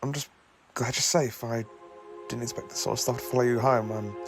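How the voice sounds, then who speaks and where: An actor playing a kindly, socially awkward alien professor who travels in time, speaking gently and hesitantly in an audio drama. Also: male voice, medium pitch, steady tone, brisk, over music, natural voice. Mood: gentle.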